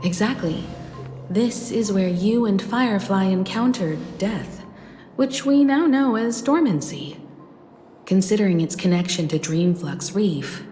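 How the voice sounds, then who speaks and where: An assured mentor in a video game, composed and analytical. Her medium-pitched voice moves expressively as she explains things with poise. It sounds echoey, with music behind. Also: a little mellow. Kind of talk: scripted video game voice acting.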